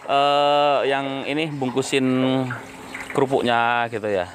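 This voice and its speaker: A man hosting an online interview in casual chat, talking in a lively way and often rising in surprise. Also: medium pitch, takes it slowly, noisy background. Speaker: YouTube host interviewing a guest. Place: on site at a home cracker business.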